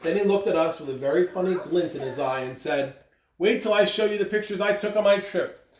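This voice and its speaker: A person reading a children's storybook aloud with expressive, animated delivery. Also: mid-range voice, fairly quick. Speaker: school staff member reading aloud. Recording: roomy, distant mic with clear background noise.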